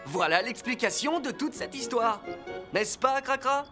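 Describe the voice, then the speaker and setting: A woman voicing a calm, responsible rescue car leader in a dubbed children's cartoon, explaining things reassuringly. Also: on the high side, measured pace. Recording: music underneath.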